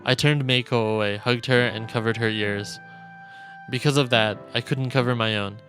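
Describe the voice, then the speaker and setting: A man streaming a visual novel, reading its text aloud as dramatic, measured narration. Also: medium pitch, steady tone, a bit bright. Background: game music.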